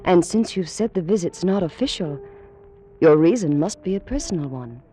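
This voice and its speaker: A woman's voice: lowish voice, animated, moderate pace, warm tone, faint music behind, close to an everyday voice. A woman acting a passionate young noblewoman of ancient Egypt in an old-time radio romance, with formal, theatrical delivery. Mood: coy, teasing.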